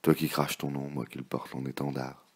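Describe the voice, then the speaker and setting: A man reciting love poems in a dramatic, impassioned, theatrical manner, with a gravelly, husky voice that can drop to a hushed murmur. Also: a bass voice, little pitch movement, brisk. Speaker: poet reading poems.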